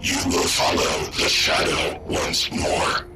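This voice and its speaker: Buried war-machine AI in a game, processed voice, extremely deep, slow and terse. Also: male voice, very noisy. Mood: cold, commanding. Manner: terse, flat, issues commands. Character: ancient buried war-machine AI, cold and commanding.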